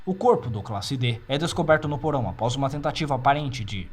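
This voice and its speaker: Brazilian man reading formally, medium pitch. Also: expressive, fast, a little hiss or hum. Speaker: narrator of horror fiction files. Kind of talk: scripted narration of clinical report.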